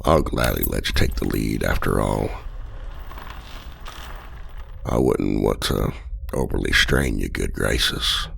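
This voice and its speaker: Brief: a man voicing a flirty, manipulative male outlaw, very deep and rough-edged, slow, unhurried delivery. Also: fairly bright tone, a little hiss or hum, full character voice. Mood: sweetly pleading. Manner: flirty, teasing, smugly condescending. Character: charming, manipulative male outlaw escape artist. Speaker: voice actor. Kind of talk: ASMR audio roleplay.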